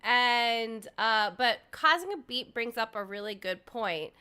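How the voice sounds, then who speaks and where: Bright-voiced woman hosting a livestream. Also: fairly high pitch, animated, slow, clean tone. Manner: chatty, filler-heavy, addresses chat viewers. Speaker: livestream host. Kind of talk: casual livestream chat. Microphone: desk microphone.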